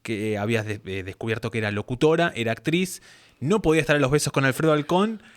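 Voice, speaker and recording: A man with an Argentine accent hosting a casual interview, speaking in halting, digressive phrases. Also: medium pitch, expressive. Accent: Argentine Spanish. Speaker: interview host.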